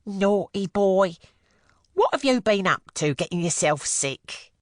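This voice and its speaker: A man voicing a bustling, motherly older charwoman who fusses briskly over a sick employer. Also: sits high, very animated, no echo, studio quality, pitched above the performer's own voice, full character voice. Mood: fussing. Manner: brisk, fussing, scolding kindly.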